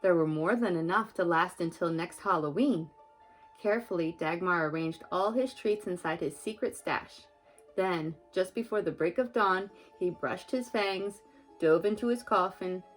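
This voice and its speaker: Gentle, expressive young mother reading aloud. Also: lowish voice, measured pace, faint music behind, poor audio. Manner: gentle, expressive, talks to the child. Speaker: mother reading to her child. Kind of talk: children's book read-aloud and chat.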